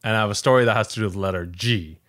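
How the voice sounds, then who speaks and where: A man's voice: fairly low pitch, rapid-fire, a bit bright. A man hosting a podcast in a casual chat style, conversational and often hedging as he thinks aloud. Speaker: podcast host.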